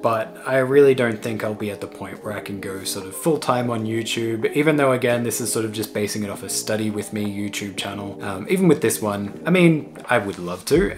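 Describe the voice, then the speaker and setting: A young man talking through his old life plans in a casual, thoughtful vlog, rambling and trailing off at times. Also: on the low side. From Australia. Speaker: YouTuber.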